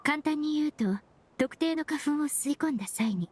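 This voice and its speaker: A woman's voice: high voice, expressive, darkish tone, slight background noise. A woman playing a polite, composed young female perfumer in an anime game, speaking formally and knowledgeably. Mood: calm, explanatory. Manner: courteous, formal, measured. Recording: music behind the voice.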